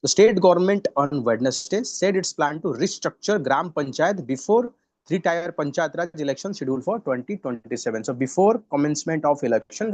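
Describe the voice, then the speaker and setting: A man's voice: a little high, no echo. A man with an Indian English accent teaching an online exam-prep class on current affairs, often repeating himself and saying "you know". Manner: repeats phrases, fillers like "you know", mixes in Hindi.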